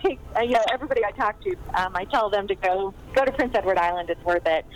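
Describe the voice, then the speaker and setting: A woman's voice: medium pitch, quick pace, a little hiss or hum, rough recording. Chatty, enthusiastic woman novelist. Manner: chatty, enthusiastic, many ums, laughs often. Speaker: novelist guest. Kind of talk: casual podcast interview chat.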